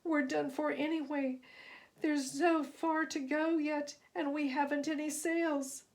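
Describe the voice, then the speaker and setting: A woman voicing a steady, commanding male ship's captain in a children's story, reasoning aloud with emphasis. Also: high-pitched, a little slow, pitched above the performer's own voice. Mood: worried, resigned.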